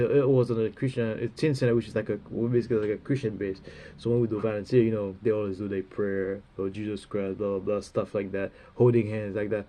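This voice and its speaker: A man with a stuffy, runny nose giving a rambling spiritual talk, halting and full of fillers, often ending phrases with "man". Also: on the low side, warm tone. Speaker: religious speaker.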